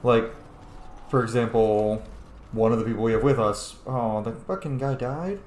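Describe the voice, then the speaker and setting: A man's voice: mid-range voice, normal speed, darkish tone. A man giving casual, sweary gameplay commentary over a video game. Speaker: gaming video host. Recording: roomy echo, game music behind. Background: video game music.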